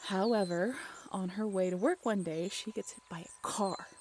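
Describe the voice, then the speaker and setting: A woman playing an excitable young woman in an audio roleplay, telling a story casually with conversational asides. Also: mid-range voice, normal speed, a little hiss or hum, natural voice. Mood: chatty, amused. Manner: informal, giggly, conversational asides with rhetorical questions.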